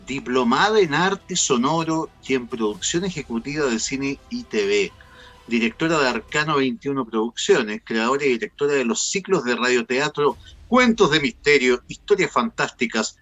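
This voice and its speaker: A man's voice: on the high side, bright, forceful tone, muffled recording. A man with a Chilean accent hosting a conversational online radio interview in a playful manner. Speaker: host and interviewer.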